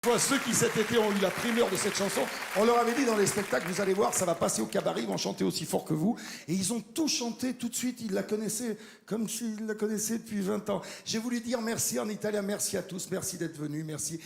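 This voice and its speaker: Male stage performer, quick gravelly patter introducing a song, reverberant live sound. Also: sits high. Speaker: singer addressing an audience. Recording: echoey live stage recording.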